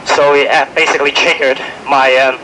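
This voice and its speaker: A man's voice: medium pitch, little pitch movement, normal speed, bright, forceful tone, rough recording. A man with a Hong Kong English accent, sick with a cold, giving an urgent, pleading statement at a press conference with many fillers and restarts. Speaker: finance worker speaking at a press conference. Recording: outdoor press event with faint street noise.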